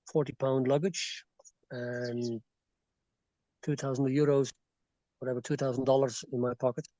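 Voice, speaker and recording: A man's voice: medium pitch, dark tone, no room sound, rough recording. A man from Belgium with a slightly Irish-tinged accent, a guest chatting casually in an interview with many fillers and restarts. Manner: rambling, frequent fillers and restarts. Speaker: expat guest. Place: rural property in Bolivia.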